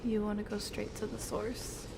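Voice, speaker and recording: A woman's voice: mid-range voice, completely flat delivery, normal speed, noisy background. A woman streaming a game with casual, chatty live commentary and mild substitute swearing.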